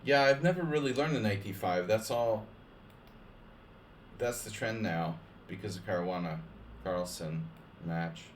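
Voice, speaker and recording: A man's voice: on the low side, a little slow, a little hiss or hum. A man streaming chess against viewers, chatting casually and rambling, with lots of "like" and restarts between joking asides. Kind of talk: casual live-stream chat over games.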